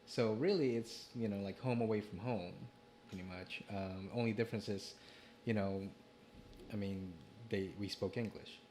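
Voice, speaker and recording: A young man from the Philippines, telling stories in a relaxed podcast chat with many fillers. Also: on the low side, moderate pace, a little hiss or hum. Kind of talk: casual chat.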